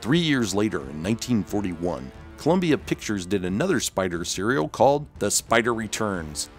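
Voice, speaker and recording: A man's voice: fairly low pitch, big pitch swings, moderate pace, soft background music. A man hosting and narrating a scripted documentary-style video.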